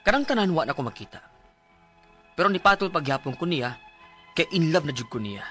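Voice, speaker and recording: A man's voice: a little high, very animated, a little fast, bright, forceful tone. An actor playing an earnest, lovestruck male student, speaking expressively in a radio drama over music. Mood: earnest, confiding. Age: young adult. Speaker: letter narrator and lead character. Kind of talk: radio drama acting and narration. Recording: music under the voice.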